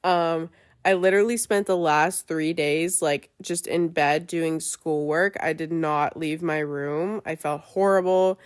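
A young woman hosts her podcast with a chatty, easygoing flow, full of little fillers. Her voice sits a little low, and a cold leaves it stuffy and nasal. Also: fairly even intonation, a little slow. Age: young adult. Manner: chatty, lots of fillers and likes. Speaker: podcast host. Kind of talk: casual chat.

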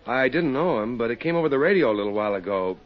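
Lively, obliging small-town hotel clerk, acted by a man. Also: medium pitch, rapid-fire, natural voice. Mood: chatty. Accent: American. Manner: courteous, talkative. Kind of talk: 1950s radio drama acting. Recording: dull, slightly noisy old radio recording.

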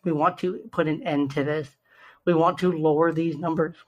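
A young man with a slightly raspy, hoarse voice, earnestly hosting a solo podcast and slowing down to stress key numbers. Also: fairly high pitch, steady tone, a little mellow, dull audio. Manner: earnest, stresses numbers slowly, self-interrupting restarts. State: hoarse from shouting the day before. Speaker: podcast host.

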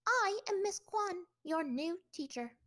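A woman's voice: extremely high pitch, big pitch swings, moderate pace, pitched above the performer's own voice. A woman voicing a strict, prim middle-aged schoolteacher who snaps and scolds. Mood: indignant. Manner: snapping, stern and scolding.

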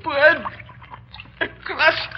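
A man's voice: extremely high pitch, steady tone, drawn-out words, heavily acted. A man playing a dying, thirst-crazed escaped convict adrift at sea, pleading and accusing in old-time radio drama style. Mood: desperate pleading. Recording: dull, noisy vintage radio recording.

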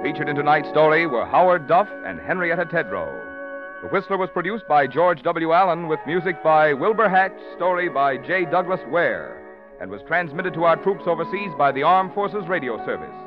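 A man's voice: fairly high pitch, big pitch swings, moderate pace, over music. A man announcing and reading sponsor copy on a 1940s radio show. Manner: smooth salesman delivery. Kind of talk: scripted radio commercial. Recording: muffled old radio broadcast.

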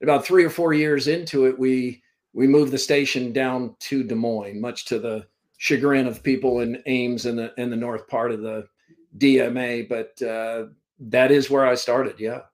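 A man's voice: mid-range voice, steady tone, normal speed. A man in his fifties from Vermont, a sports broadcaster telling stories as a podcast guest, rambling and self-deprecating, speaking over a computer call. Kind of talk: casual storytelling interview. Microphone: remote call from a computer.

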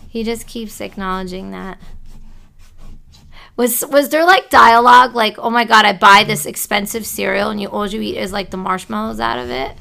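Gravelly bright-voiced woman medium. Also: mid-range voice. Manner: relays messages in halting bursts. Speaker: psychic medium. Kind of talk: psychic reading.

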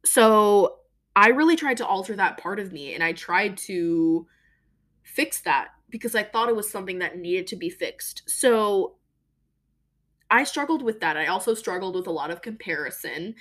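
A young woman hosting a podcast, talking casually and emphatically about her own life, with a voice she calls deeper than most women's. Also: lively intonation, normal speed, fairly bright tone. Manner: chatty, emphatic, lots of fillers. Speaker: podcast host. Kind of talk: casual personal monologue.

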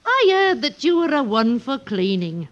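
A woman's voice: on the high side, sing-song, normal speed. An actress playing a sly, chattering old woman selling ducks, with folksy, cajoling patter in an old-time radio drama. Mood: coaxing. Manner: folksy, cajoling, sing-song. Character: wheedling, sly, chattering old street duck seller woman. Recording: muffled old radio recording.

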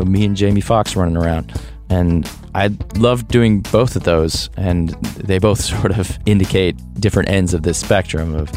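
A man's voice: low voice, darkish tone. A man in his thirties hosting a podcast, thinking aloud in a loose, hesitant way with restarts. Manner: thoughtful, hesitant, with restarts and laughs. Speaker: podcast host. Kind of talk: reflective podcast monologue.

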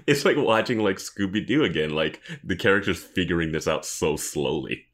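A man's voice: low-pitched, lively intonation, normal speed, fairly bright tone. A man co-hosting a podcast in casual, joking commentary, riffing with mock quotes and plenty of filler "like". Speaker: podcast co-host.

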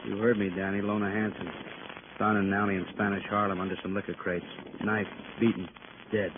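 An actor playing a terse, businesslike police detective with clipped delivery in a 1950s radio drama. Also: male voice, lowish voice, little pitch movement, a little fast, close to an everyday voice. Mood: grim, businesslike. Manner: clipped, matter-of-fact. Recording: muffled noisy old radio recording.

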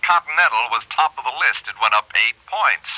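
An actor with an American accent playing an eager, generous suburban neighbor heard through a telephone in a radio drama. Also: male voice, mid-range voice, expressive, brisk, dry recording. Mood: excited. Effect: telephone filter. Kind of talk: radio drama phone dialogue. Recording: dry, dull telephone-style radio recording.

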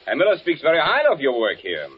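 A man's voice: on the high side, wildly swooping pitch, fast talker. A male actor playing a polite, affable German army officer in a 1940s radio thriller, hearty and formal. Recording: muffled, noisy 1940s radio recording.

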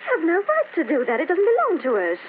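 Through a thin, telephone-like band with clear hiss comes a jealous, edgy young wife in a vintage radio thriller. Her delivery is expressive and defensive, and her pitch swings widely. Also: fast, heavily acted. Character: jealous, defensive young wife, newly moved into an uneasy house. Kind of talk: old time radio horror drama acting. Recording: noisy, tinny old radio recording.

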